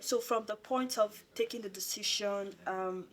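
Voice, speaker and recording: A woman's voice: mid-range voice, fairly even intonation, normal speed. A young woman hosting an informal interview as a student union president, chatty and repeating phrases for emphasis. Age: young adult.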